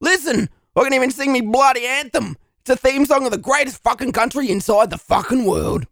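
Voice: high voice, brisk, a bit bright, no echo, clean studio sound. An actor playing a foul-mouthed, desperate Australian slacker man who begs and rants in thick slang in a comedy drama. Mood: indignant, boastful. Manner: crude, slangy, belligerent, whining.

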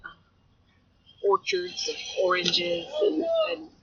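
A woman's voice: fairly low pitch, big pitch swings, very slow, gravelly, fairly bright tone, slightly rough, dull audio. A woman guest whose first language is Spanish, chatting in a casual interview and often laughing at herself. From United States. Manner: chatty, laughing, self-deprecating.

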